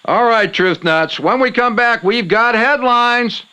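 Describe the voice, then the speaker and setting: An actor playing a showy, irritable late-night conspiracy radio host with emphatic patter. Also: male voice, extremely high pitch, a little slow, sharp tone, clear, resonant tone, heavily acted. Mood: showy, solemn. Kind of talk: talk radio patter and headlines.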